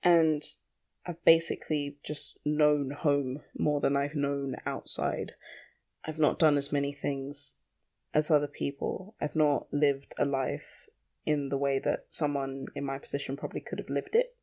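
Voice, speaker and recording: Blunt, creaky-voiced young podcaster rambling casually. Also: mid-range voice, level tone, unhurried, darkish tone. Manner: blunt, sweary, self-questioning. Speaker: podcast host. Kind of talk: casual solo rambling monologue.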